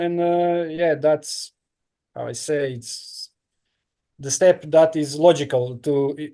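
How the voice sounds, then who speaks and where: Croatian-accented man, halting and fairly high. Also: dark tone. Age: 30s. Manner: halting non-native English with many fillers. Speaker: guest, professional triathlete. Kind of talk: casual interview answers.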